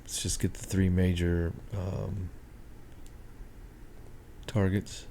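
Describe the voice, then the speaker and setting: A man walking through market charts on a screen share, thinking aloud with many ums and a hoarse voice. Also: deep voice, level tone, takes it slowly, dark tone, a little hiss or hum. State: hoarse, clearing his throat. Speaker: market analyst.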